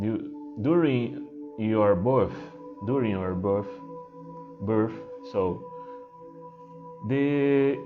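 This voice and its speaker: Portuguese-accented spiritual teacher, slow and halting, muffled sound with singing bowl music. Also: male voice, mid-range voice. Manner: hesitant, halting, many fillers and restarts. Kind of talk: unscripted spiritual talk to camera.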